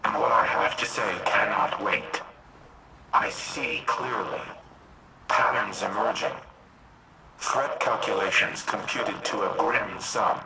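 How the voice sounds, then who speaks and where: A man voicing a grave, benevolent ancient war-machine AI in a video game, speaking in a solemn, measured way. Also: lowish voice, fairly even intonation, heavy background noise. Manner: solemn, measured, deliberate delivery. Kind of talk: video game voice acting, dramatic monologue. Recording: loud music under the voice.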